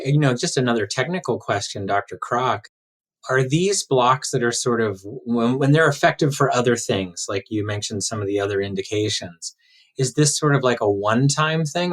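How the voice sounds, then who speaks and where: A man's voice: fairly low pitch, poor audio. A man hosting a podcast, interviewing guests in a conversational way. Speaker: podcast host interviewing doctors.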